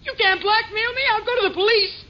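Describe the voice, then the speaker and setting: Female, defensive drinker for vintage radio drama: frightened, curt, shrill, extremely high pitch, muffled old recording. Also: fairly even intonation, brisk. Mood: tearful, desperate. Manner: curt, turning shrill and pleading. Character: frightened, boozy, defensive woman hiding a secret. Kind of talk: 1950s radio drama acting.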